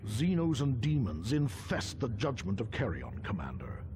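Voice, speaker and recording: A man's voice: fairly low pitch, huge pitch swings, normal speed, background noise. A man playing a pious, ominous space marine advisor in a video game, speaking formally and portentously. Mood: ominous warning. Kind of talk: video game cutscene acting.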